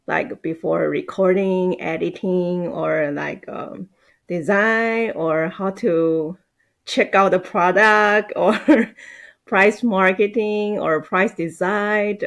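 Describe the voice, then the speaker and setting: Creaky, lower-voiced woman with halting English. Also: fairly even intonation, fairly bright tone. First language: Mandarin Chinese. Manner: halting, hesitant non-native English. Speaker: podcast guest, team manager. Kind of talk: casual podcast conversation.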